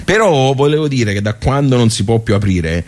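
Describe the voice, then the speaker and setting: An actor playing a nostalgic, grumbling old social-club regular in a radio soliloquy, with a Tuscan accent and a colloquial, halting, rambling delivery full of rhetorical asides. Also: male voice, medium pitch, lively intonation, a little mellow, close to an everyday voice. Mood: animated grumbling. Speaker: stage actor. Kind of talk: theatrical radio soliloquy, conversational.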